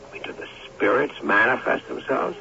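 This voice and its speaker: A man's voice: mid-range voice, lively intonation, a little fast, creaky, bright, forceful tone. An actor playing an earnest, skeptical young man in a radio drama, with a hesitant, questioning manner. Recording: dull, noisy old radio recording.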